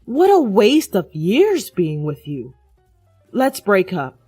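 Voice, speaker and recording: A woman's voice: mid-range voice, wildly swooping pitch, slow pace, darkish tone, husky, no room sound, exaggerated voice. Woman doing cocky drunk boyfriend in an audio story, mocking and scornful, dry close sound, music under it. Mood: contemptuous. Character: arrogant drunk young boyfriend, sneering and contemptuous. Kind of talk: dramatized audio story dialogue.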